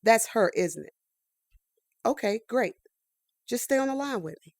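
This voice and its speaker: An indignant, driven woman in a sci-fi audio drama. Her high voice swings widely as she makes clipped, insistent demands, with some creak at the edges. She is easily exasperated. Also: lively intonation, a little slow, a little mellow, no room sound, studio quality. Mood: anxious, hopeful.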